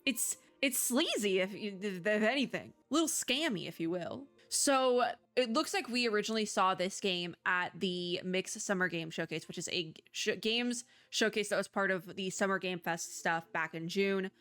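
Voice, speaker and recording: A woman's voice: medium pitch, moderate pace, few pauses, a bit bright, faint music behind. A woman talking casually and off the cuff as a video game host, in a chatty, rambling, informal way. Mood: annoyed. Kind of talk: casual unscripted game commentary.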